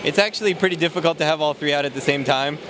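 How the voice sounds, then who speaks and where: A man casually walking through a game character's moves, explaining in a relaxed way with many fillers, recorded at a noisy event. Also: fairly high pitch, very fast. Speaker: game developer demoing a character. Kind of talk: casual gameplay walkthrough. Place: convention floor, noisy.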